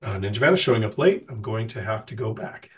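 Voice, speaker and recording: A man's voice: on the low side, lively intonation, fast talker, recorded from a distance. A middle-aged man with a sick, nasal voice, casually answering live chat questions as host, with frequent ums and restarts.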